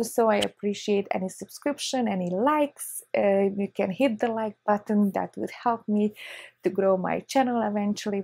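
A woman in her thirties from Hungary with a Hungarian accent, chatting excitedly through a casual unboxing vlog on a lapel mic in her living room. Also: medium pitch, creaky, no echo. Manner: chatty, excitable, with short laughs. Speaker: vlogger. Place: living room on a leather couch, indoors.

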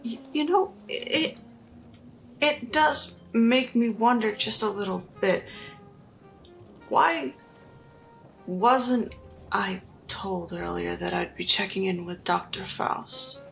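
A woman acts out a guarded woman patient in a tabletop drama. She is weary and sardonic, with a slow, slightly low, creaky voice and halting phrases over music. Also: fairly bright tone. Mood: wary. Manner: halting, sighing, dry sarcasm. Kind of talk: tabletop roleplay in character.

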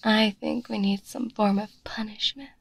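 A woman playing a doting, teasing mommy girlfriend in a soft, breathy ASMR roleplay. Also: medium pitch, little pitch movement, normal speed, no echo. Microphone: close, dry microphone.

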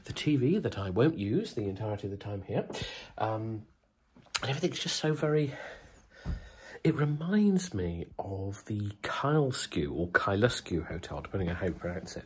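A man with a cold, talking quietly in a hotel room in a casual vlog update, with many ums and hesitations. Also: on the low side, very animated, normal speed, a little hiss or hum. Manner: speaks softly, hushed, many ums. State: bunged up with a cold. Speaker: vlogger.

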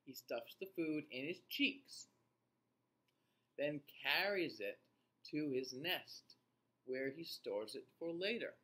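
Slow-paced man reading to children. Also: fairly high pitch, animated, pushed and bright, poor audio. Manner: slow, deliberate, child-directed delivery. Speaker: children's read-aloud host. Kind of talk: reading a children's nonfiction book aloud with asides to young viewers. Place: small indoor room.

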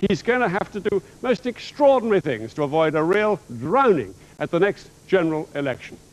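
A man's voice: high-pitched, sing-song, fairly quick, low-quality recording. Emphatic older male election analyst. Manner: emphatic, theatrical explaining, walking through a virtual set. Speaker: election analyst presenting graphics. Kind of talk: animated broadcast analysis. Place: television studio.

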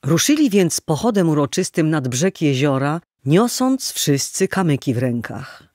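A man narrating an old tale as a scripted audio drama, in a solemn, measured, literary manner. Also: fairly high pitch, sing-song, darkish tone, dry recording, studio quality. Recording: music playing behind the voice. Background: music.

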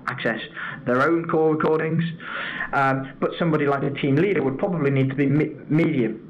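A man giving an informal webinar presentation as a product trainer, explaining step by step with examples. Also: mid-range voice. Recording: dull lo-fi audio with background noise.

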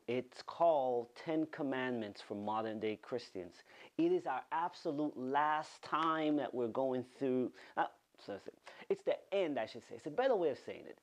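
A man in his thirties, a pastor delivering an animated online sermon from a studio, repeating words for emphasis. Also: mid-range voice, a little slow. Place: studio with green-screen backdrop.

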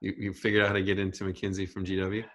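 A man's voice: deep voice, monotone, rapid-fire, fairly bright tone. A man hosting a podcast, asking chatty, casual interview questions. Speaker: podcast host.

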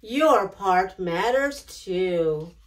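A middle-aged woman hosting storytime, reading a picture book aloud in an expressive, deliberate way. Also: medium pitch. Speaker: children's storytime host. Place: small decorated indoor storytime set.

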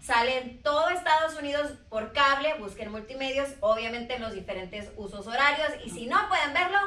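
A woman's voice: high-pitched, animated, a little fast, sharp tone. A woman co-hosting a casual live chat on a TV studio couch, wrapping up the show. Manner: chatty, reads aloud, wraps up the show. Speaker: co-host. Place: TV studio set, couch panel. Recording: echoey studio, distant mic.

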